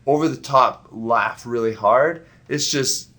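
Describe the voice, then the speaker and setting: A man's voice: medium pitch, slow pace, pushed and bright. A man co-hosting a family movie review, chatting casually and chuckling as he plays along with jokes. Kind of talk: casual joking chat.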